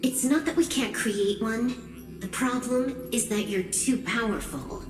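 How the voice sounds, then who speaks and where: A woman's voice: fairly high pitch, a little slow, lightly acted. An actress voicing a cool, cautious young woman hacker and strategist in an anime game, dry and matter-of-fact. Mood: firm, cautioning. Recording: echoey room, music behind. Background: game music.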